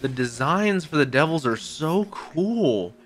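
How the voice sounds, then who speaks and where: A young man reacting out loud to an anime episode, exclaiming and swearing casually into a boom mic in a home streaming room. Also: lively intonation, slow, a little hiss or hum. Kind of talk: excited reaction commentary. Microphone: boom mic with headphones. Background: anime soundtrack playing.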